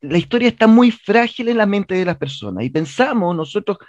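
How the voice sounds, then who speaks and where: A man's voice: sits high, lively intonation, brisk, darkish tone, no room sound. A man with a Chilean accent giving a radio interview as a guest, speaking earnestly and emphatically, repeating words as he argues, on lo-fi audio. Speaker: theatre director, interview guest.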